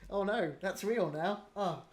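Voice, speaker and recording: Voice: medium pitch, unhurried. A host reading out audience questions and chatting casually with guests, often laughing. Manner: laughing, lively retelling. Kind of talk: casual chat.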